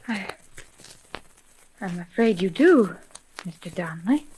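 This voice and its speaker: A woman's voice: mid-range voice, lively intonation, takes it slowly, background noise. An actress playing a composed, courteous woman criminologist in a 1930s radio drama, speaking politely and carefully. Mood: uneasy. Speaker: actor. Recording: vintage 1930s radio recording.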